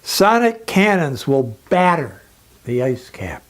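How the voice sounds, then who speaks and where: A man delivering a scripted, persuasive lecture in a solemn, emphatic manner with dramatic pauses. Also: a little high, very animated.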